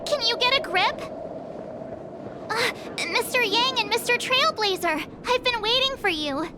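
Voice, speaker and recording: A breezy, teasing young woman, extremely high in pitch and unhurried. She chides in a chatty way over clear background noise. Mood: exasperated. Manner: bemused, chiding, chatty. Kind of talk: video game voice acting.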